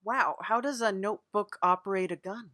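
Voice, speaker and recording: A woman hosting a casual improvised comedy interview, asking questions in a hesitant, deadpan way. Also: medium pitch, moderate pace, no echo, clean studio sound. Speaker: podcast host and interviewer.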